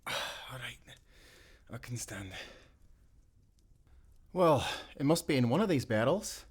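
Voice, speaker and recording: Wounded Scottish sailor, male actor: loyal, brave castaway, mid-pitched, soft and earnest, for a pirate adventure audio drama. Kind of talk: cinematic pirate audio roleplay acting.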